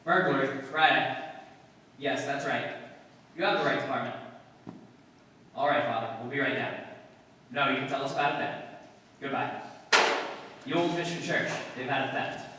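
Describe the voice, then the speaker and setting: Deadpan police detective played by a man, far-off echoey hall. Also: medium pitch, moderate pace, pauses often, lightly acted. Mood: businesslike. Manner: deadpan, clipped, terse delivery. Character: male police detective sergeant, dry, laconic, matter-of-fact. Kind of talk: 1950s radio police drama acting, scripted narration. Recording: distant, echoey hall with long reverb, hiss.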